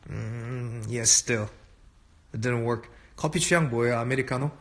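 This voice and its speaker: A young man hosting a casual phone livestream from a dim room at night, reading viewer comments aloud and chatting back in a relaxed way. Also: medium pitch, very fast. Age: young adult. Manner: relaxed, chatty, switching between English and Korean. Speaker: livestream host. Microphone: phone held close at arm's length.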